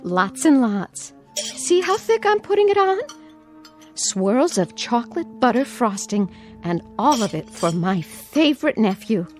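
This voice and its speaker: An actress playing an anxious, doting aunt in a radio drama, sweetly coaxing and nervously repeating herself. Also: female voice, fairly high pitch, big pitch swings, measured pace, soft background music. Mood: coaxing, placating. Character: anxious, doting aunt placating a feared nephew.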